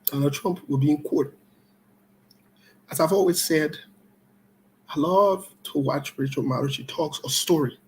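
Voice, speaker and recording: A man hosting an online political talk show, giving live commentary and scolding viewers in a blunt, loose conversational way that swings between loud outbursts and low-key remarks. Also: a little hiss or hum, low-quality recording.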